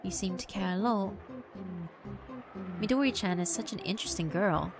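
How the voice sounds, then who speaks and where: A woman voicing a polite gentleman spirit with a formal, measured, dryly teasing manner in a visual novel reading. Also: mid-range voice, close to an everyday voice.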